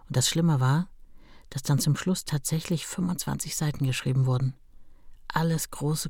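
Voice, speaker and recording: A woman's voice: extremely low pitch, gravelly, warm tone, husky, no room sound, clean studio sound. An older woman reminiscing about her husband, telling it emphatically with quoted dialogue. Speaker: writer's widow recalling her husband. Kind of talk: spontaneous reminiscence.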